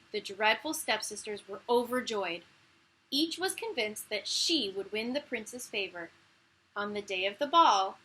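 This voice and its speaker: Bright, higher-voiced woman reading a storybook. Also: poor audio. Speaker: teacher reading a storybook to young children. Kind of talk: expressive children's read-aloud narration.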